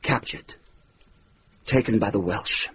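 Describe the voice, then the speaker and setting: A man's voice: on the low side, slow pace, slightly rough, full character voice. An actor playing a battle-weary medieval nobleman soldier in a radio drama, curt, earnest and grave. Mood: urgent, grave.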